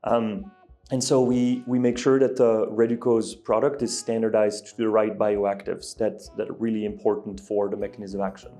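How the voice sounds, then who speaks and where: Halting man with a mellow voice. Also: on the low side, level tone, faint music behind. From Belgium. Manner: hesitant, many ums and restarts. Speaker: guest, ingredient company executive. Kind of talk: interview answers.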